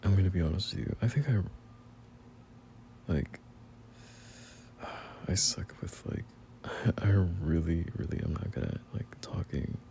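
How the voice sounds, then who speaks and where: A young man playing a bashful, teasing friend turned boyfriend in an intimate roleplay audio, speaking in halting, murmured slang. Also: deep voice, vocal fry, dark tone, slight background noise. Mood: awkward, sincere.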